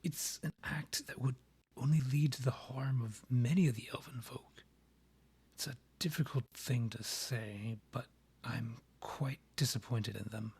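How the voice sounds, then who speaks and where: Husky, courtly vampire king, acted by a man. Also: mid-range voice, fairly even intonation, a little mellow, exaggerated voice. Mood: indignant, restrained. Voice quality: husky, breathy. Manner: formal, measured, theatrical phrasing. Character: proud, courtly male vampire monarch, rival and ex. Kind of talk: scripted roleplay audio, ASMR.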